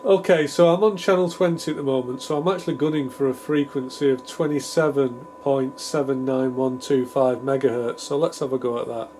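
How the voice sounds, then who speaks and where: A man's voice: medium pitch, steady tone, fairly quick, few pauses, a little mellow. A man giving a casual, improvised technical demonstration, thinking aloud in a tentative, self-deprecating way. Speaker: hobbyist radio repair presenter. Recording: clear background noise with hum.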